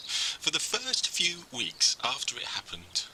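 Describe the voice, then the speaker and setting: Birdsong and outdoor noise sit behind a slow-talking male friend in a first-person game, acted out by a man. He is grieving under a cheery front and faintly unsettling. His halting, theatrical delivery swings widely in pitch and drops into whispers. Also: wildly swooping pitch, pushed and bright, exaggerated voice, rough recording. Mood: grieving, guilt-ridden. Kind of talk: first-person game dialogue, dramatic monologue.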